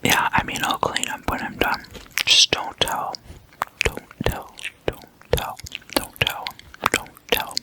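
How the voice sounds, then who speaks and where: Hushed whispering ASMR host, very slow. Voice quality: breathy unvoiced whisper. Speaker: ASMR host explaining demon folklore.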